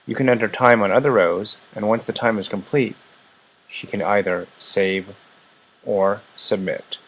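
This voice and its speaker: A man narrating a scripted software product demonstration as its presenter. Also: on the low side, steady tone, measured pace, rough recording.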